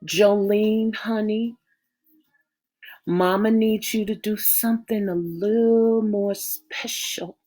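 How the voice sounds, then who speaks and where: A woman voicing a calm, loving mother and matriarch who coaxes and soothes in a Southern dialect. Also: mid-range voice, slow pace. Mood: soothing.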